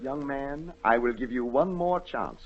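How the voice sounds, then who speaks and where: A man's voice: lively intonation, unhurried, creaky, over music. Blustering, imperious storybook king, wildly swooping voice. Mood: indignant. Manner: pompous, commanding, booming outbursts. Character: imperious, short-tempered, blustering old-time storybook king. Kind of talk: 1940s radio acting. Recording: dull, muffled 1940 radio recording.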